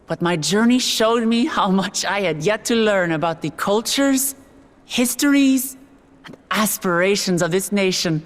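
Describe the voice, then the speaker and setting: An actress playing an earnest, warm-hearted young woman who has just become ruler, delivering a heartfelt ascension speech in a video game cutscene. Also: mid-range voice, animated, a little slow, lightly acted. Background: orchestral game music.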